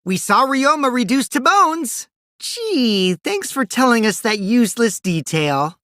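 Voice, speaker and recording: A man's voice: very high-pitched, big pitch swings, a little slow, pushed and bright, no room sound, clean studio sound, full character voice. A man voicing a mischievous, taunting teenage boy schemer in a video game, with a light boyish voice and a theatrical, teasing delivery. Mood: teasing, breezy. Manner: theatrical, teasing, swinging between sing-song and cold. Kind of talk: animated video game dub acting.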